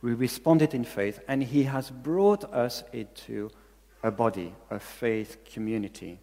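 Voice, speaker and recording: A man's voice: medium pitch, measured pace, mellow. Belgian-accented man preaching in a reverberant hall. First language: not English. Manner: earnest, repeats key phrases for emphasis. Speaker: pastor. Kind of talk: sermon.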